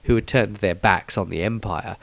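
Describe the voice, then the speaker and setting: A man's voice: lowish voice, normal speed, gravelly, dry recording. A man reading his own fantasy story as a scripted, dramatic audiobook narration.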